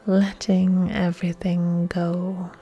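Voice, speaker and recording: Very slow, hushed woman guiding relaxation. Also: lowish voice, monotone. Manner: soft, breathy, soothing delivery with long pauses. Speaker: yoga instructor. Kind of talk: guided relaxation meditation. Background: soft music.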